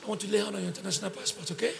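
A man's voice: high-pitched, fairly even intonation, very fast, vocal fry. A middle-aged man with a Nigerian accent preaching prophecy to individuals, declaring, questioning and repeating himself into a handheld microphone. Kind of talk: prophetic ministering to individuals in a congregation. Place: large open-sided arena with a congregation.

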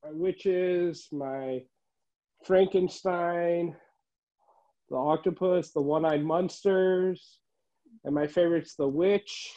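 A middle-aged man giving a casual show-and-tell tour as a collector, listing items haltingly, recorded indoors on a handheld phone. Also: high-pitched, steady tone, mellow. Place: room at home, indoors. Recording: dull, muffled phone audio.

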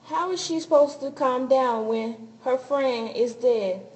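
A woman's voice: high-pitched, unhurried, a little mellow, slightly rough. A young woman playing a blunt, indignant character in an amateur radio play. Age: young adult. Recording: faintly noisy amateur recording.

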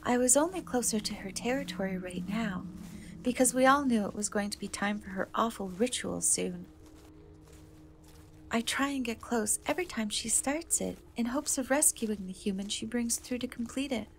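A woman voicing a kind, timid half-cat young woman in a soft, hesitant fantasy ASMR roleplay. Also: on the high side, normal speed, natural voice. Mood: wistful, hesitant. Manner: hesitant, soft-spoken, often slipping into whisper. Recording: noticeable background noise and ambience.

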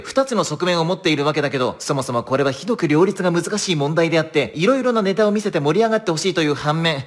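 A man's voice: fairly high pitch, close to an everyday voice. A man playing a detached, analytical young genius in an anime fighting game, explaining at length. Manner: long-winded, rapid, precise explaining.

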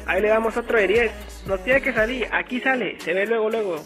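A young man, giving casual, slangy gameplay commentary as a youtuber, excitable and repeating himself. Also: sits high, a little fast. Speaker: gaming youtuber. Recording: game music underneath. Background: game music.

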